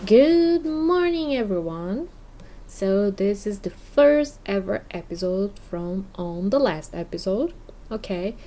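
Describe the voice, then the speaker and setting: A woman's voice: mid-range voice, expressive, takes it slowly, mellow, faint music behind. A woman from Brazil, a native Portuguese speaker with a Brazilian accent, hosting a casual podcast and often checking in with "okay?". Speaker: podcast host.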